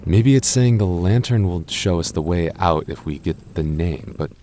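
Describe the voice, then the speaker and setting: A man voicing a gentle, shy goth boyfriend in an ASMR roleplay, soft-spoken and stammering as he reassures his listener. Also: low voice, animated, moderate pace, a little hiss or hum. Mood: puzzling. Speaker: voice actor.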